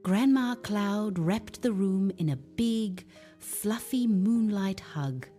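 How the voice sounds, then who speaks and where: A woman gently narrating a scripted bedtime story for children in a hushed, soothing, unhurried manner. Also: medium pitch, animated. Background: music.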